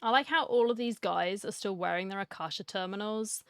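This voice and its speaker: A woman's voice: mid-range voice, brisk, clear, resonant tone, no room sound, clean studio sound. A woman giving casual, joking playthrough commentary on a game, chatty and wry. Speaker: gamer, streamer.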